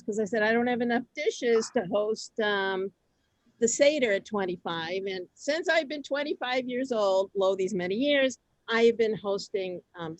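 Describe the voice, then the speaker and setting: A middle-aged woman hosting a group on a video call, chatting informally and telling stories in a conversational, animated way. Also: on the high side, dry recording. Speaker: host of a synagogue group session. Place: video call, close dry sound.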